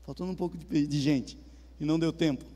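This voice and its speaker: A man's voice: fairly high pitch, steady tone, fast talker. A man with a southern Brazilian accent preaching a sermon, talking with the congregation and asking them questions. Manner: conversational, asks the congregation questions. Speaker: pastor. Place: church hall. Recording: reverberant church hall with soft music.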